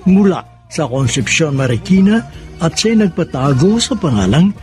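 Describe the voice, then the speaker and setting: High-pitched, theatrical male radio drama host. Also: very animated, moderate pace, darkish tone, faint music behind. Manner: theatrical, poetic. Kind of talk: sentimental scripted radio narration.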